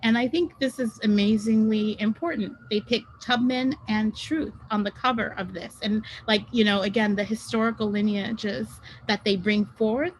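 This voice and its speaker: A woman's voice: medium pitch, fairly even intonation, normal speed, few pauses, a little hiss or hum, low-quality recording. A woman whose first language is French, speaking as a guest on an online panel webinar in a conversational way with many ums and self-corrections, over a video call.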